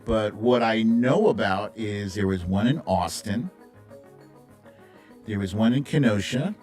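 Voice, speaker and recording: A man's voice: lowish voice, a little slow, darkish tone. Guy hosting a livestream, takes his time talking, opinionated and chatty, some music playing behind him. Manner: opinionated, blunt, conversational. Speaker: live stream host. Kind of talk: casual political commentary, reading viewer comments.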